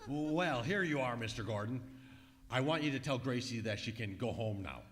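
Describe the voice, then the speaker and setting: An actor playing a put-upon older husband with a gruff, blustering manner in an old-time radio comedy. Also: male voice, mid-range voice, lively intonation, moderate pace, close to an everyday voice. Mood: firm. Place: live performance in a museum hall. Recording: echoey hall, live stage recording.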